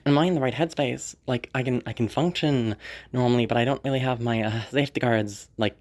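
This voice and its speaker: A woman's voice: rumbling low, fairly quick, darkish tone. A woman voicing a sociopathic rich young woman who mutters her plans to herself and swears a lot. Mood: irritated. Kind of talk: audio roleplay monologue.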